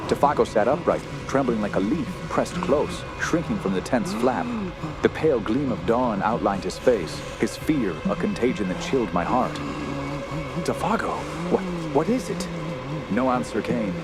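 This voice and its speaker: An actor playing a fearful, haunted camper, narrating a horror tale in a solemn, measured and dramatic way. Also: male voice, medium pitch, sing-song, loud music behind the voice, close to an everyday voice. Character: haunted, fearful male wilderness camper telling his tale. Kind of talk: scripted first-person horror narration.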